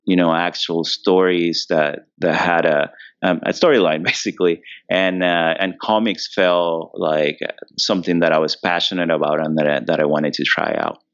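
A man from Venezuela, perhaps in his thirties, answering podcast interview questions in a relaxed way, thinking aloud with fillers and restarts. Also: low voice, smooth, dry recording, lo-fi sound. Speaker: comic book writer and guest.

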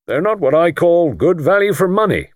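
A man's voice: fairly high pitch, big pitch swings, moderate pace, dry recording, clean studio sound, pitched above the performer's own voice. Man doing a pompous self-made lord. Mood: boastful. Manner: blustering, self-satisfied.

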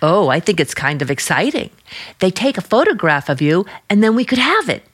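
An actress playing an eager, adoring and sensitive young niece in an old-time radio drama. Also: female voice, lowish voice, big pitch swings, brisk, slightly rough. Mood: excited.

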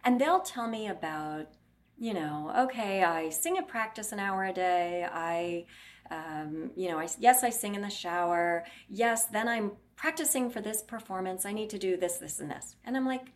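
A plain-spoken woman talks through voice care as a clinician, steady in pitch and explaining at length. She drops into quick little impressions of her patients to make a point. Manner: blunt, explanatory, acts out patient quotes. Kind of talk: interview answers.

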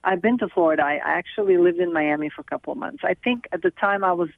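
A middle-aged woman from Serbia, speaking as a guest over the phone in an earnest, halting way with many restarts. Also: fairly low pitch, dry recording. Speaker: film director and guest. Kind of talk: phone interview. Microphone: telephone. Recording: tinny dry phone line.

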